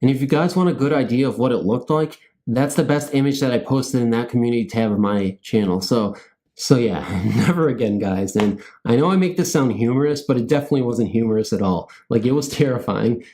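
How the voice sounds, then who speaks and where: Goofy rambling man with a mellow voice. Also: mid-range voice, fairly quick. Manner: goofy, self-mocking, rambling. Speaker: host of a scary story channel. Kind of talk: casual rambling chat to viewers.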